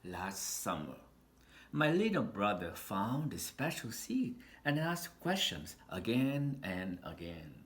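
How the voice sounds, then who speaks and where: A man reading a children's picture book aloud in an expressive, deliberate storytelling manner with dramatic pauses. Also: lowish voice. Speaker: storybook reader. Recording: roomy echo with faint background noise.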